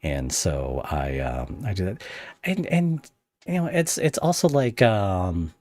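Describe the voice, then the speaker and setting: A man's voice: low voice, big pitch swings, slow, darkish tone, no echo. A man hosting a casual podcast interview, asking questions and joking with playful sarcasm. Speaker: podcast host.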